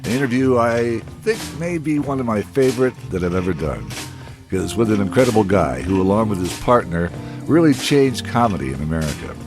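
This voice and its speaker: A man's voice: on the low side, lively intonation, a little fast. A man introducing his podcast as host, delivering a scripted intro with enthusiasm. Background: guitar music.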